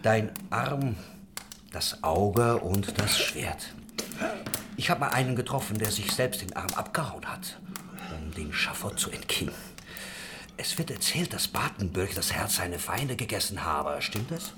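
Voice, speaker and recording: A man's voice: on the low side, lively intonation, unhurried, background noise. An actor playing a worldly, idealistic craftsman who leads a religious commune, musing and talkative, in a German historical radio drama. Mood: wry, curious.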